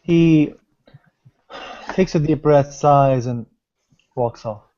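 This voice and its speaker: Mellow, higher-pitched man narrating roleplay. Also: extremely slow. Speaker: tabletop roleplaying participant. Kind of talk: improvised game narration. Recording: dull lo-fi online call audio.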